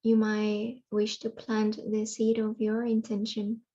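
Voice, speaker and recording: A woman leads a guided relaxation as a yoga nidra teacher. Her voice is mellow and dark, with very little rise and fall in pitch. She speaks soothingly, in unhurried phrases separated by long pauses. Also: monotone, highs cut off. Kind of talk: guided meditation.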